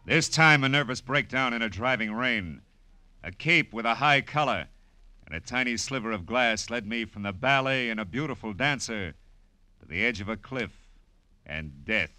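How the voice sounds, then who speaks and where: A man's voice: medium pitch, expressive, moderate pace, bright, forceful tone. A man playing a wry, world-weary American private detective in 1940s radio noir style, narrating in tough slang. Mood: dramatic. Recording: vintage radio recording with music.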